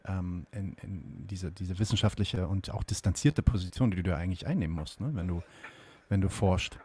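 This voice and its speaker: A man's voice: sits low, moderate pace, warm tone, breathy edge. A man from Germany hosting an interview, asking long, searching questions with many fillers. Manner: hesitant, searching for words, asks long questions. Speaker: host. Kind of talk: conversational interview.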